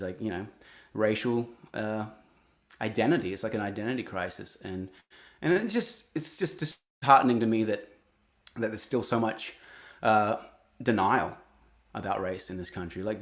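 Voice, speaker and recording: A young adult man with an Australian accent, speaking earnestly in an interview, often restarting his sentences. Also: lowish voice. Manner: earnest, halting, many restarts. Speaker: guest, singer-songwriter. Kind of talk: reflective interview talk.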